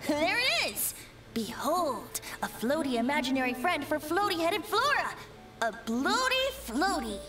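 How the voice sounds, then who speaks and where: A woman's voice: high-pitched, big pitch swings, unhurried, over music. A woman voicing a playful, teasing young male bard in an anime game, boyish and theatrical. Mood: delighted.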